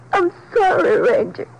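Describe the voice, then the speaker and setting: A woman's voice: very high-pitched, a little hiss or hum, full character voice, rough recording. A woman playing a distressed, pleading working-class wife with folksy, tearful speech in a 1950s radio drama. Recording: old 1950s radio recording.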